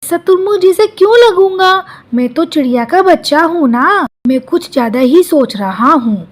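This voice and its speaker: Woman voicing a high-pitched young male ostrich. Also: animated, moderate pace. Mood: puzzled. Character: young male ostrich raised by birds, naive, aggrieved. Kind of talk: Hindi cartoon story dialogue.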